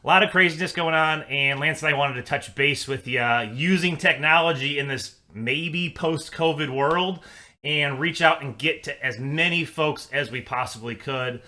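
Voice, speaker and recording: Man, financial advisor, upbeat and chatty, somewhat high voice, bright tone. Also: normal speed. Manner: upbeat, conversational, with frequent fillers. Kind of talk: casual client update.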